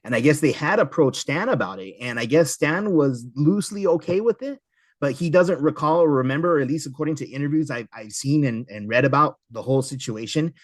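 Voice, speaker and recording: Fast-talking man hosting a chat. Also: on the high side, expressive, dry recording. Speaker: podcast host. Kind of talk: casual chat about comic books.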